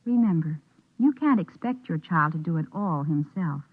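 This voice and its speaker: A woman's voice: low voice, expressive, normal speed. An American woman reading a scripted 1950s radio public service announcement. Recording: tinny, narrow old radio recording.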